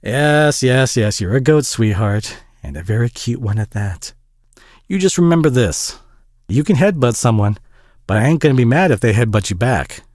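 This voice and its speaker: A man playing a warm, tired, gently flirty single dad with a Southern US accent in an audio roleplay, talking in a folksy, hesitant way. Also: medium pitch, expressive, normal speed, no echo, studio quality, close to an everyday voice. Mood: tender, playful. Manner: folksy, hesitant, chuckling drawl with pet names. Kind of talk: intimate audio roleplay drama.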